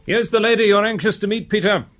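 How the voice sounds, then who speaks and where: Highish-voiced, jovial English police inspector. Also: male voice, fast, fairly bright tone, soft background music. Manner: jocular, courteous. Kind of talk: British radio drama acting.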